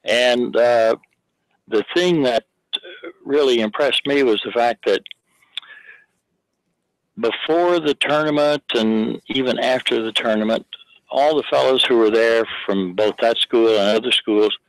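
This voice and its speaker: A man's voice: mid-range voice, measured pace, long pauses, dry recording, low-quality recording. Older male caller telling a fond story. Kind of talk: phone-in call.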